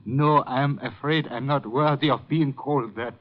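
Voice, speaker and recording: An actor playing a gentle, distraught old painter in a 1950s radio drama, pleading and hesitant. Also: male voice, mid-range voice, moderate pace, a little hiss or hum, natural voice. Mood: sad, apologetic. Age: older. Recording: muffled vintage 1950 radio recording.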